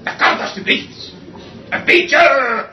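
An actor playing an imperious, exasperated, eccentric orchestra conductor in a radio play, with a pompous, theatrical manner that swings from muttering to shouting. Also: male voice, extremely high pitch, animated, slow, faint music behind, full character voice. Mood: angry, urgent. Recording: dull recording with noticeable hiss.

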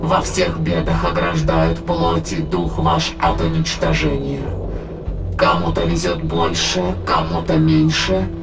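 Gravelly, solemn demonic spirit. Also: deep voice, heavily acted. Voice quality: gravelly, heavily creaky. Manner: solemn, declamatory, archaic wording. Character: sinister ancient demonic spirit, solemn, gloating, coldly patient. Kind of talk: dramatized audio play, formal archaic monologue. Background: dramatic background music.

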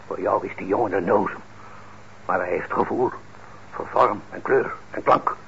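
An actor playing a stern, principled middle-aged father in a radio drama, speaking in a measured, admonishing way. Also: low voice, vocal fry, fairly bright tone. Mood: earnest, admonishing. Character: stern, principled father and craftsman, indignant but fair. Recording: muffled old radio recording, faint noise.

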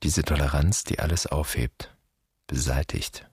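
A man's voice: a bass voice, fairly even intonation, unhurried, a little mellow, slightly rough, dry recording, studio quality, exaggerated voice. A man acting a wounded, fearful son confronting his father, in terse, poetic, broken phrases for a radio drama. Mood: bitter, wounded. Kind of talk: stylized German radio drama dialogue.

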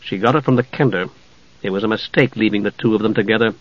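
A man voicing a cold, suspicious dark elf wizard with a quiet, sardonic manner, in a storybook reading. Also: mid-range voice, moderate pace, lo-fi sound, natural voice. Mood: suspicious, irritable.

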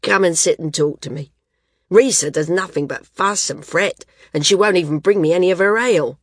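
A woman acts out an ailing but hearty storybook man. The delivery is loud and frank, low and husky, recorded very dry. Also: moderate pace, dry recording. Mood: hearty teasing. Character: booming, hearty, good-natured ailing man.

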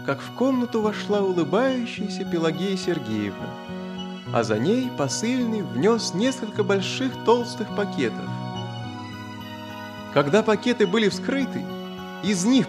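A male narrator tells a children's story in a high-set voice. His delivery is expressive and scripted, with melodic swings in pitch. Music plays clearly behind him.

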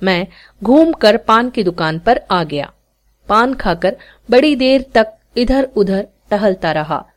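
A woman reading a short story aloud as a podcast narrator, in an expressive scripted storytelling style. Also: medium pitch, slow.

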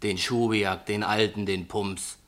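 Blunt Berlin underworld pal. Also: male voice, fairly low pitch, steady tone, measured pace, fairly bright tone. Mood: chiding, familiar. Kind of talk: colloquial radio drama dialogue.

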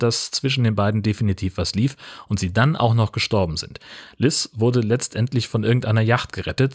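Husky man giving a wry recap. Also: fairly low pitch. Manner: wry, colloquial. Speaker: podcast host. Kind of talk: scripted comic film-recap narration.

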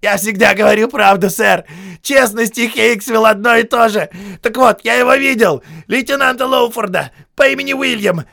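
A man voicing a foul-mouthed, groveling army sergeant who screams and pleads in a shrill, hoarse voice, in an audiobook. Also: moderate pace, pushed and bright, exaggerated voice. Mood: insistent. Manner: screaming, pleading, spitting insults.

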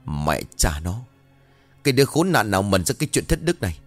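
A man's voice: lowish voice, expressive, brisk, no echo, faint music behind. A man voicing a hot-headed, vengeful grieving son in a horror story, snarling accusations in a Southern Vietnamese accent. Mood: angry, demanding. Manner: snarling, shouting accusations through clenched teeth.

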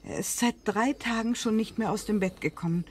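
An actress playing a curt, unwelcoming vicarage housekeeper in a radio drama, guarded in manner. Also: female voice, medium pitch, fairly quick, a little hiss or hum, lightly acted. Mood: curt, dismissive. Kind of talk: German radio drama acting.

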